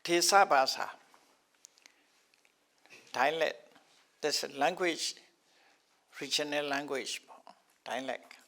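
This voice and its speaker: Slow gravelly older man teaching. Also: a little high, pushed and bright. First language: not English. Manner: halting, repeats terms, chuckles often. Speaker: Buddhist teacher. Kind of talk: explaining scriptural terms to a class.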